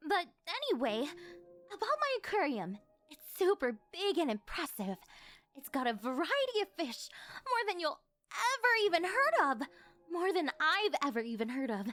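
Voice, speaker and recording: A woman's voice: extremely high pitch, big pitch swings, normal speed, fairly bright tone, soft background music, heavily acted. A woman playing a rich, possessive older yandere girlfriend in a scripted ASMR roleplay, coaxing sweetly and rambling a little awkwardly. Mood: boastful.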